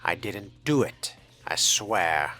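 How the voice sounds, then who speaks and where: A man's voice: low-pitched, expressive, slow, heavily acted. A man voicing a suave, sardonic young vampire in character during a video game, courtly and wry. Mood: pleading. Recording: loud music behind the voice.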